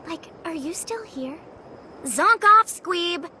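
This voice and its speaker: A woman's voice: very high-pitched, lively intonation, slow pace, pushed and bright, slight background noise, exaggerated voice. A woman voicing a snarky, gossipy teenage creature in a video game, with an exaggerated American valley-girl drawl. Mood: scornful. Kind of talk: cartoonish video game character acting.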